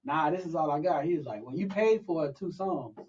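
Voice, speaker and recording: High-pitched man telling animated stories. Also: fairly quick, far from the mic, lo-fi sound. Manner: animated storytelling with acted-out quotes. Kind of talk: casual chat.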